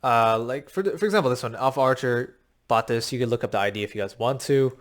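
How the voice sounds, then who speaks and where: A man with a slightly raspy, worn voice, coaching viewers on a crypto game in a rambling, filler-heavy pitch, a little short of breath. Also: fast talker. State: short of breath from long talking. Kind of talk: casual talking-head explainer.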